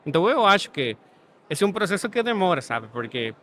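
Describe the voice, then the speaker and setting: A man from Guatemala with a Spanish accent, speaking Portuguese as a second language as a podcast guest, measured and thoughtful. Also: high voice, very animated, fairly bright tone, slight background noise. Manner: thoughtful, measured, ends points with "sabe?". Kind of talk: podcast interview. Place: studio setting.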